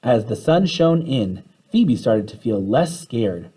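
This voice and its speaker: Husky man reading expressively to children. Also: medium pitch, slow pace. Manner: animated, acts out the characters' dialogue. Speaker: teacher reading a children's story aloud. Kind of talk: expressive children's storybook read-aloud.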